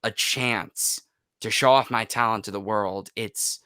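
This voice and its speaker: A man in his twenties with a smooth, forceful voice, recorded close and dry. He speaks as a guest in a candid chat, emotional and emphatic, often repeating a phrase to drive it home. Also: on the low side, fairly even intonation, unhurried, dry recording. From United States. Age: 20s. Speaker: podcast guest, YouTuber and voice actor. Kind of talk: candid personal interview chat.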